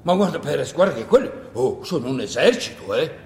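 A man's voice: medium pitch, sing-song, brisk, gravelly, darkish tone, pitched below the performer's own voice. Man playing coaxing, worried villager in echoey hall. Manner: hesitant, repetitive, coaxing. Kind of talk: theatrical stage monologue acting. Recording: echoey hall with long reverb.

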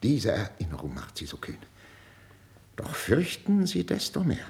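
An actor playing a proud, jealous older king in a classical radio drama, imperious and theatrical, swinging from hushed menace to outbursts. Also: mid-range voice, huge pitch swings, unhurried, creaky, full character voice. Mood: cold, wounded menace. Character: proud, jealous, volatile older Spanish king, tormented by suspicion. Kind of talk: 1950s German classical radio drama acting.

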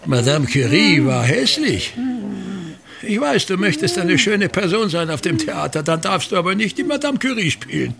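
An actor playing a pompous, tyrannical ageing actor-manager, declaiming contemptuously and repetitively in a radio drama. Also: male voice, high-pitched, big pitch swings, normal speed, creaky, a crowd in the background, heavily acted. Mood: exasperated, commanding. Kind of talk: theatrical German radio drama monologue.

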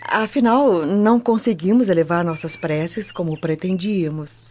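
A woman's voice: on the low side, moderate pace, a little mellow, natural voice. An actress playing a troubled, disappointed Roman woman, a hesitant family friend, in a radio drama. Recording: muffled lo-fi audio with faint noise.

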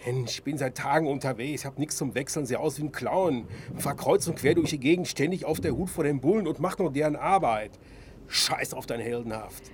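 An actor playing a scruffy, irritable middle-aged father in a German radio drama, talking in a colloquial, gruff way. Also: mid-range voice, a little fast, slight background noise, lightly acted. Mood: agitated, weary.

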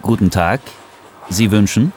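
A man playing a polite, attentive hotel receptionist in a scripted language-course dialogue, courteous and clearly enunciated. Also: fairly low pitch, unhurried, a little mellow. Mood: welcoming.

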